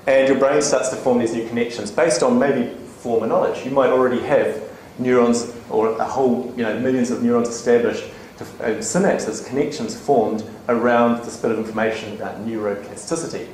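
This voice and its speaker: A man presenting at a conference to a room of educators, talking in a chatty, animated way and checking in with the audience with quick "okay?" and "right?" tags. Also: mid-range voice. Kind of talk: live conference talk to educators. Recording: echoey conference room, faint ambient noise.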